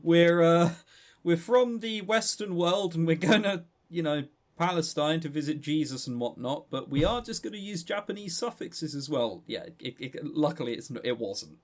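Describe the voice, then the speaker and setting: Higher-voiced man, halting and jokey. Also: lively intonation. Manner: halting, stammering repetitions. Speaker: podcast co-host. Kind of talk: casual chat.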